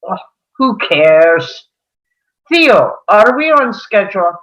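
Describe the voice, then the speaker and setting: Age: adult. Role: a woman reading a suave male terrorist leader. Style: table read. Pace: slow. Pitch: swooping, lively. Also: lo-fi sound. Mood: calm, commanding.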